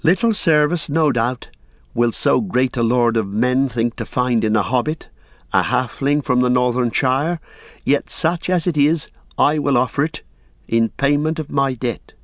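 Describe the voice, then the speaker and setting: A man's voice: mid-range voice, measured pace, dry recording, lo-fi sound, voice pushed up, natural voice. A man doing an earnest young halfling. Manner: earnest, faltering then proud.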